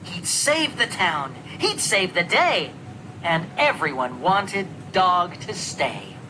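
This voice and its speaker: A storyteller narrating a rhyming children's story in an expressive, theatrical, sing-song way. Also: medium pitch, takes it slowly, heavy background noise. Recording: narration over loud music. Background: music.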